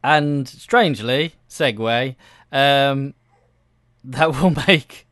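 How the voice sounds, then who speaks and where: Slow-talking, hesitant man chatting casually. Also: medium pitch, fairly bright tone, dry recording, clean studio sound. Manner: hesitant, self-deprecating, giggly. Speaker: gaming video creator. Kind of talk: casual rambling chat over gameplay.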